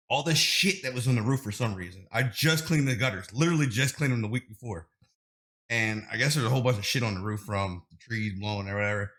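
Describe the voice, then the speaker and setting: A man in his thirties hosting a casual podcast chat, crude and joking. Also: medium pitch. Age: 30s. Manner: crude, joking, laughs loudly at own jokes. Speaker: podcast host.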